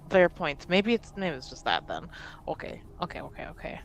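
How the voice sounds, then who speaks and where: A tabletop roleplaying player improvising casually in character, halting at times and easily given to giggles. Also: medium pitch, sing-song, slight background noise. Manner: giggly, breaks into laughter.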